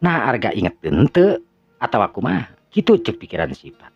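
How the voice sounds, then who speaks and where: A man's voice: mid-range voice, wildly swooping pitch, fairly quick, vocal fry, breathy edge. A man with a Sundanese accent narrating a folk tale, drawn out, with stumbles. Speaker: storyteller. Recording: dull lo-fi audio with faint music.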